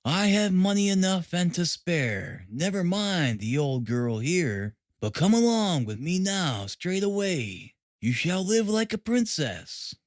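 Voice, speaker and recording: A man's voice: high voice, sing-song, slow pace, few pauses, full character voice. A man voicing a brazen, drunken suitor in an audiobook, coarse and insistent as he presses his case. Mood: urgent, brazen. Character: drunken, brazen male suitor urging a young woman. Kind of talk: audiobook character reading.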